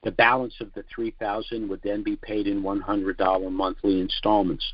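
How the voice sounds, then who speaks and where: Older man, lowish pitch, deliberate formal phrasing, financial consultant's sales presentation, dry and muffled call recording. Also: flat delivery, dry recording. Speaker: financial trust consultant hosting a conference call. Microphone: telephone conference call. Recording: muffled lo-fi conference call audio.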